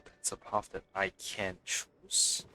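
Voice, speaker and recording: A man's voice: slow, pushed and bright, faint music behind. A man giving casual let's play commentary as a game streamer, thinking aloud in questions.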